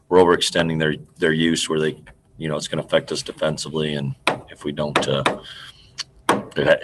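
A middle-aged man, a basketball coach, answering press questions over a video call, halting and trailing off quietly as he restarts sentences. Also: a bass voice, slight background noise. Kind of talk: postgame press conference answers. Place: indoor room in front of a team backdrop. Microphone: video call computer mic.

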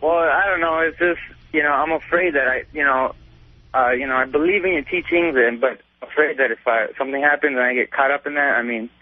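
A man's voice: mid-range voice, fairly even intonation, fairly quick, no echo. A twenty-year-old man calling a radio show, sounding hesitant and unsure in casual talk. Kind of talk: casual phone-in chat. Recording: tinny phone line on radio.

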